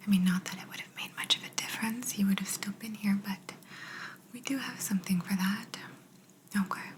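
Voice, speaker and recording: A woman playing a polite, curious alien examiner in an ASMR roleplay, speaking in a soft, breathy whisper with a gentle, clinical, faintly bemused manner. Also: mid-range voice, a little fast, lightly acted. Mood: curious, attentive.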